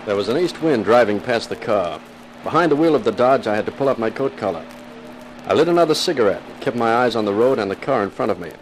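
An actor playing a wisecracking, cynical private detective in a 1950s radio drama, with a wry, flippant delivery. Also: male voice, medium pitch, expressive, quick pace, slight background noise, natural voice, low-quality recording. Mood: brooding narration.

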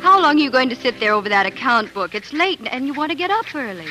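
Earnest young pioneer wife, music behind. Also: female voice, high voice, sing-song, quick pace, poor audio. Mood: tender. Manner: earnest, emotional. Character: weary, tender young pioneer wife, expecting a baby. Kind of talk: 1940s radio drama acting and narration.

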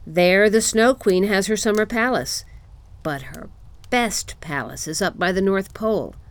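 A woman's voice: medium pitch, measured pace, natural voice. A woman voicing a proud, knowing reindeer in a storybook, with a stately and emphatic delivery. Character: proud male reindeer, knowing native of the snowfields.

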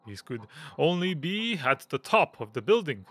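A man playing a frail, fussy, self-important gentleman hypochondriac in an audio drama, speaking formally with halting hesitations. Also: fairly high pitch, big pitch swings, no room sound. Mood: excited, proud.

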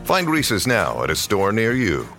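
A man announcer reading a mock newscast ad with a lively delivery. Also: fairly low pitch, measured pace, creaky, faint music behind. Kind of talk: mock news ad read.

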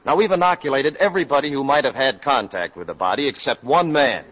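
A man's voice: mid-range voice, fairly bright tone, natural voice. A man acting as a commanding, insistent public health doctor in a 1950s American radio drama. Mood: urgent, insistent. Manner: brisk, authoritative, insistent. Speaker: actor. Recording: dull vintage 1950s radio recording.

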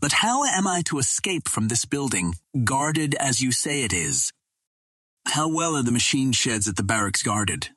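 A man's voice: medium pitch, sing-song, measured pace, pushed and bright, slightly rough, no room sound, studio quality, pitched above the performer's own voice, full character voice. A man voicing a bold, resourceful adventurer in an audiobook, speaking in a confident, formal, old-fashioned way. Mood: urgent.

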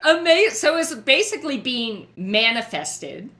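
Female podcast host, very bright tone, gushing, higher voice. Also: sing-song, a little slow. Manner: effusive, gushing praise. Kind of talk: interview.

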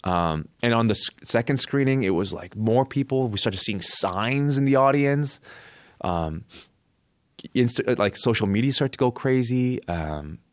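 A man with a Canadian accent telling a personal story casually as an interview guest, in short halting phrases. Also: medium pitch, expressive, no echo, studio quality. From born in Korea, raised in Canada.

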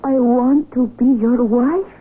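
High, breathy pleading sweetheart. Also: female voice, fairly even intonation, slow, narrow, tinny audio. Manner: tender, imploring, melodramatic. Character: devoted young sweetheart, pleading gently to be married. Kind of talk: radio drama acting. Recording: thin, band-limited old radio recording.

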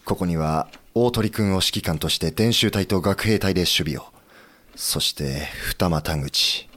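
An actor playing a dignified, decisive samurai-era leader with a firm, deliberate manner in a period audio drama. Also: male voice, sits low, a bit bright. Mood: grave, decisive.

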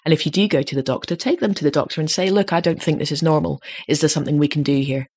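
A woman in her late thirties with a slightly deep voice, chatting casually as a podcast host and growing animated when she makes a point. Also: fast talker, husky. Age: 30s. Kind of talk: casual chat.

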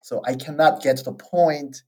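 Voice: mid-range voice, steady tone, moderate pace, darkish tone. A person with a Korean accent, a native Korean speaker, interviewing a guest in long, roundabout sentences. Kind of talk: casual interview conversation.

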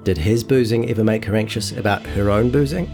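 A man with a low-pitched voice narrates a scripted audio long-read, moving between storytelling and quoted speech. Guitar music plays behind him. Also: a little fast. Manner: acts out quotes within narration. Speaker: narrator, feature journalist.

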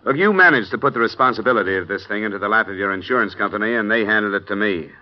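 Actor as a terse, deep-voiced investigator. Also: male voice, rapid-fire, natural voice. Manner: terse, dry, businesslike. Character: tough male insurance investigator, terse and dry. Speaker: investigator and narrator. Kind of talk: 1950s radio drama acting. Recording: dull lo-fi vintage radio broadcast.

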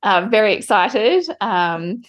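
A woman's voice: medium pitch, expressive, slow pace. A woman giving casual answers as an interview guest. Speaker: interview guest, club cricketer.

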